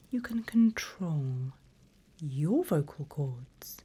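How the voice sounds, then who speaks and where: A woman playing a seasoned demon guide in an ASMR roleplay, teasing, sinister and silkily condescending, in a breathy, drawling whisper. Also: on the low side, warm tone, exaggerated voice. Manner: slow, drawling, teasing, mock-sweet.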